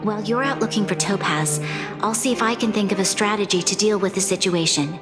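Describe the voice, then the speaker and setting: A woman playing an earnest, burdened young leader of a city in a video game, speaking in a formal, measured way. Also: mid-range voice, close to an everyday voice. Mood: grateful, apologetic. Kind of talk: video game cutscene voice acting. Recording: long hall reverb, background music.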